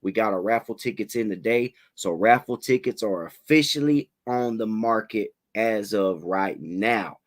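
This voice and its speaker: A man hosting a casual livestream interview, talking in relaxed slang, a little raspy from a head cold. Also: lowish voice, measured pace, hardly any pauses. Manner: laid-back slangy hype, fillers like "you know what I'm saying".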